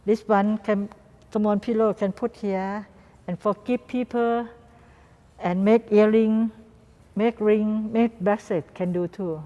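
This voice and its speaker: A woman from Thailand with a Thai accent, a guest artist answering interview questions in halting, simple non-native English and repeating words. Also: medium pitch, fairly even intonation, warm tone, dull audio. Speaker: guest artist and doll maker. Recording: reverberant room.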